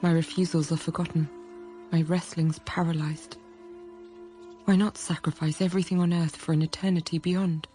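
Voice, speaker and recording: A woman's voice: fairly low pitch, little pitch movement, normal speed, mellow, soft background music, lightly acted. An actress playing a troubled young woman who narrates her inner thoughts in a radio drama, intimate and introspective. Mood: conflicted.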